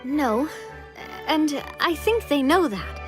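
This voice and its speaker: A woman's voice: sits high, animated, takes it slowly. A woman voicing a confident, personable young female leader in a video game, assured and explanatory. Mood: solemn. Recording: faint music behind.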